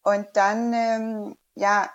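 A woman's voice: medium pitch, little pitch movement, very slow, dull audio. Hesitant Danish woman speaking German. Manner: hesitant, many fillers and restarts, non-native grammar. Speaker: guest, diving instructor. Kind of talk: casual interview chat.

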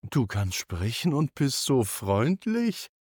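A man's voice: medium pitch, very animated, slow, darkish tone, husky, no room sound, clean studio sound, full character voice. A man voicing a curious young boy in a bedtime story. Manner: curious, wondering. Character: curious young boy who loves snow.

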